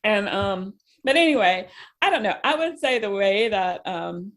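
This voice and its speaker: Halting woman in casual conversation. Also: mid-range voice, animated, fairly bright tone. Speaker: novelist guest on a podcast. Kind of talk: casual interview chat.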